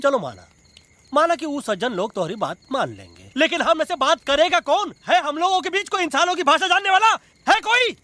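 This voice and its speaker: A man voicing a blustering monkey army commander in an animated film, mocking and bombastic, with a Bhojpuri-flavoured Hindi accent. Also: very high voice, fairly quick, no room sound, exaggerated voice. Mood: scornful, challenging. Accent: Bhojpuri-influenced eastern Hindi.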